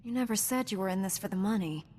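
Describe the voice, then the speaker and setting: An actress playing a composed, gently teasing young Jedi knight in a video game, measured and earnest. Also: female voice, mid-range voice, big-room sound, lightly acted. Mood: concerned. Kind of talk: video game cutscene acting.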